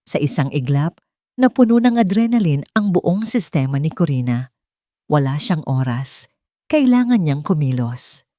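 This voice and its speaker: A woman's voice: deep voice, darkish tone, dry recording, studio quality. A woman narrating a scripted dramatic story in a suspenseful way, with short emphatic phrases.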